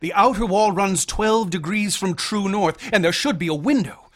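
An actor playing an obsessive, feverish young mathematics student in a radio drama, brooding and intense, sometimes hushed. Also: male voice, sits high, normal speed. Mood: feverish, obsessed.

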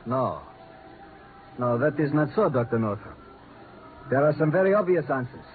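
An argumentative South gentleman, acted by a man speaks formally and emphatically. It is mid-pitched 1950s radio acting in a thin, faintly noisy recording. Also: expressive, moderate pace, narrow, tinny audio, lightly acted. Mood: formal, suspicious.

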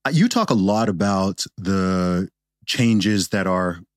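Deep voiced host dude, chatty and keen, asking stuff, dry close sound. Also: male voice, expressive, slow pace, dry recording. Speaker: podcast host. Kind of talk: podcast interview. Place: studio.

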